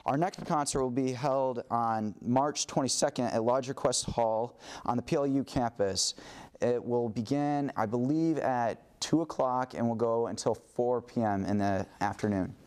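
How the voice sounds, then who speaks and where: A young man, a student percussionist, speaking earnestly in a measured way. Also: mid-range voice, a little mellow, clean tone. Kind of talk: interview statement.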